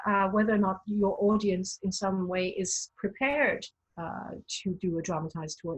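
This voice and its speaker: A Danish-native woman with a slightly husky, breathy voice, speaking English in an easy interview. She pauses and repeats words as she thinks. Her pitch sits at an ordinary middle height. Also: poor audio. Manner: hesitant, restarts often, laughs lightly. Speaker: tour guide and performer. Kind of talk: conversational interview.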